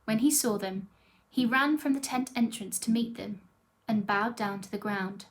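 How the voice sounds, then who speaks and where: A woman's voice: medium pitch, normal speed. A woman reading a Bible passage aloud as a scripture reader, in a scripted narrative style.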